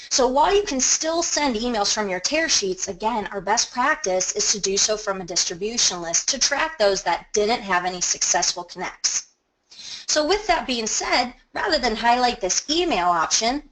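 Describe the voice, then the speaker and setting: A woman presenting a software training webinar, explaining each step in a conversational, instructional way and stressing key words. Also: fairly high pitch, poor audio. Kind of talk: instructional screen-share walkthrough.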